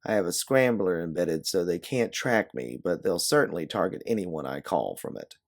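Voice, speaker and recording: A man's voice: sits low, lively intonation, normal speed. A man voicing a hurt, weary fugitive woman in an audio storybook, terse and guarded. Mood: strained, evasive.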